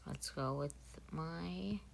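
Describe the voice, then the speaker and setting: A woman's voice: low voice, takes it slowly, gravelly, noisy background. A woman streaming a video game, giving casual, muttered live reactions as she plays. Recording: game music behind the voice.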